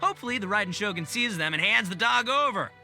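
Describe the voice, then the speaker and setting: A loud, good-natured young gang boss from a video game. The voice is high for a man and very bright and forceful, with slangy swagger and wide swoops in pitch. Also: expressive, fast talker, gravelly, soft background music, full character voice. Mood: disappointed.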